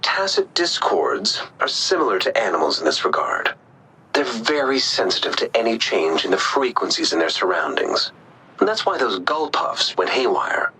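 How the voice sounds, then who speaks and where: A man's voice: fairly low pitch, sharp tone, a little hiss or hum, exaggerated voice, poor audio. A man playing a composed, analytical researcher who explains precisely, in a video game.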